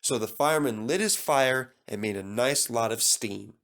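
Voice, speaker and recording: A man's voice: mid-range voice, normal speed, a bit bright. A man reading a children's story aloud as a scripted narrator.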